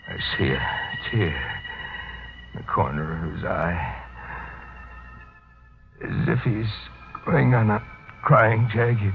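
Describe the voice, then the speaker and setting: An actor playing a despairing, fearful criminal on the run in halting, dramatic 1940s radio mystery style. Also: male voice, deep voice. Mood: bleak, fading. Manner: halting, dramatic first-person delivery. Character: Jean LeBlanc, despairing fearful criminal on the run, narrating his own end. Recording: muffled old 1940s radio recording, organ music.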